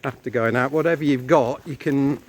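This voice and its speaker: English male walking-vlog co-host, explanatory casual guide talk, outdoor phone sound with clear noise. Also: medium pitch, normal speed. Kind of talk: casual chat with local guide commentary. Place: outdoors on a country lane.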